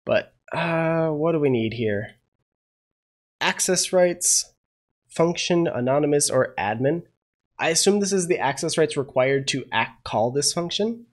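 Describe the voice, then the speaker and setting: A young man hosts a casual coding tutorial, a little under the weather from a cold. His voice sits slightly high, and he thinks aloud, filling pauses with "uh" and admitting when he isn't sure what he just did. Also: animated. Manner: thinking aloud, many "uh" fillers, unsure asides. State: getting over a cold. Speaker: programming tutorial host.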